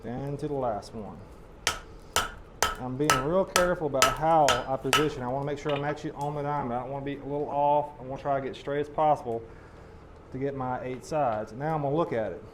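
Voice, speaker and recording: A hoarse man, losing his voice, folksy and explaining step by step as he demonstrates blacksmithing at a forge. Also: medium pitch, fairly quick, very noisy. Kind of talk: live teaching demonstration. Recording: loud hammer clanging behind voice.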